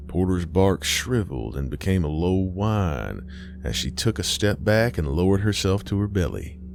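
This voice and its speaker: A man narrating a scripted Southern Gothic horror story in a folksy storytelling manner. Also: low voice. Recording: faint music underneath. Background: faint music.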